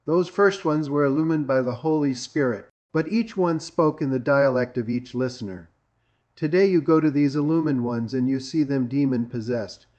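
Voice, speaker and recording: A man's voice: medium pitch, moderate pace, a little mellow. Emphatic preacher, man from Greece, dull recording. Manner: emphatic, repetitive, with rhetorical questions. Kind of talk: sermon.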